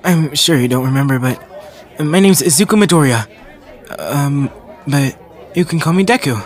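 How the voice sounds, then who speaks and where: A man playing a shy, kind teenage hero-school boy in an anime ASMR roleplay, speaking haltingly and apologetically, with stammers. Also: a little high, expressive, a faint crowd, pitched above the performer's own voice, lightly acted. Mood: flustered, friendly.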